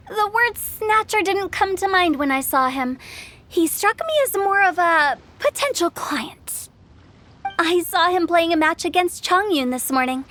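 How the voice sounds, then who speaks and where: A woman's voice: very high-pitched, fairly bright tone. A woman voicing a quirky, confident teenage girl in an anime game, with a breezy, playful manner.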